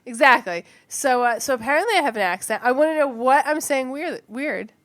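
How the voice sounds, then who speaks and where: A woman's voice: high voice, expressive, fairly quick, a bit bright, no echo. Giggly, chatty New woman. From New Jersey, USA. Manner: giggly, chatty, full of "like" and restarts. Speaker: podcast co-host. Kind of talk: casual podcast chat.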